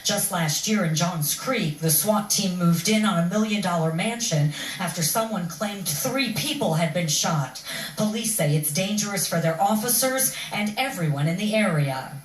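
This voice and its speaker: A woman's voice: fairly low pitch, lively intonation, normal speed. A woman working as a television field reporter, delivering a live broadcast news report. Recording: roomy, distant, clearly noisy broadcast audio.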